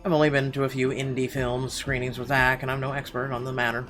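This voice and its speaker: A male gamer reads visual novel narration aloud. His voice sits at a medium pitch, with game music clearly playing behind him. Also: flat delivery, fast talker, a bit bright. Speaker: let's play gamer.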